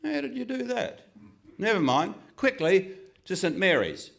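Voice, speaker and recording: A man playing a pompous, excitable, gullible investigator hero, declaiming melodramatically in a live stage radio comedy. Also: sits high, a little slow, creaky, exaggerated voice. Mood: alarmed. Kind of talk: Goon Show style radio comedy acting. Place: live stage performance in a hall.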